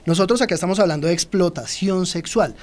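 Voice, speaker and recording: Un hombre colombiano con acento colombiano, invitado experto en una entrevista de radio, que explica con calma y se dirige directamente a los oyentes. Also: male voice, sits high, quick pace. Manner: explanatory, addresses listeners directly. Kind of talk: radio interview.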